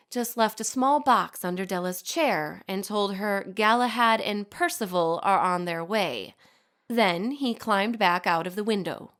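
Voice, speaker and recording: A woman playing a poised, sardonic society lady in an audio drama, narrating a letter with precise, formal, archly wry diction. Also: medium pitch. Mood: measured, reflective. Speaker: actor in a fiction podcast. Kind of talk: scripted audio drama monologue, letter read aloud.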